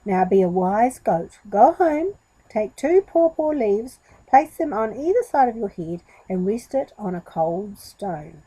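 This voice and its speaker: A woman voicing a kindly male giraffe in a children's story, soothing and gently advising. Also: mid-range voice, very animated, a little slow, slightly rough, low-quality recording.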